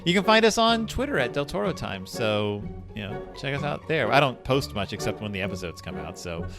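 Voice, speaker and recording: A man co-hosting a casual book discussion podcast, talking in a halting, rambling way that often interrupts itself. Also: mid-range voice, very animated, music in the background. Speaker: podcast co-host.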